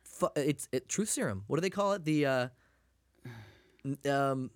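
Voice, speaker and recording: A man hosting a podcast in a quiet studio, chatting casually, blunt and animated, swearing freely. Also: mid-range voice, a little slow, no echo. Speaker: podcast host.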